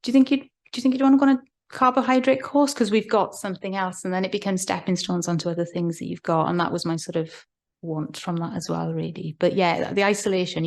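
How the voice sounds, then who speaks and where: A woman's voice: lowish voice, creaky, darkish tone. A woman from Wales, a fluent Welsh speaker, leading a workshop discussion in a chatty, encouraging way with many restarts. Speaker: workshop facilitator.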